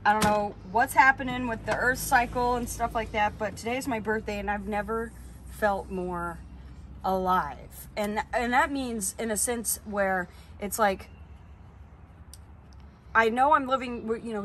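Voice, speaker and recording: A young woman vlogging at a campsite, talking in a chatty, excitable way with plenty of fillers. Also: mid-range voice, moderate pace, a little hiss or hum. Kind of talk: casual camping vlog. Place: outdoor campsite beside a camper trailer. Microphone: camera on a tripod a few feet away.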